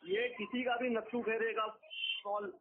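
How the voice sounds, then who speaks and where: Man, high-pitched, accusatory, emphatic, repetitive, religious argument, tinny telephone audio. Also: big pitch swings, measured pace, vocal fry, husky. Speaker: debater. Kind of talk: heated religious debate on a call. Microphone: phone call. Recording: tinny phone conference line.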